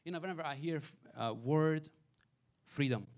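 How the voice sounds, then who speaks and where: A male pastor preaches in a small church sanctuary, holding a handheld mic. His voice sits a little high for a man, and he moves between forceful, raised emphasis and quiet, dropped asides. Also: moderate pace. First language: Farsi. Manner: preaching with wide swings between loud emphasis and soft asides. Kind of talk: sermon.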